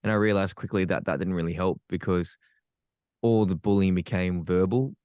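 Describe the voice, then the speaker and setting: A man's voice: deep voice, normal speed, a little mellow, clean tone, no room sound, studio quality. An Australian man, a podcast guest, telling his life story frankly with self-deprecating humor. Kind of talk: candid personal storytelling.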